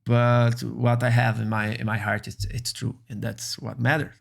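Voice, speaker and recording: A man whose first language is Brazilian Portuguese, speaking English earnestly and haltingly as a streamer reacting to a video. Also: medium pitch, little pitch movement, vocal fry. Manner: earnest, halting, many restarts.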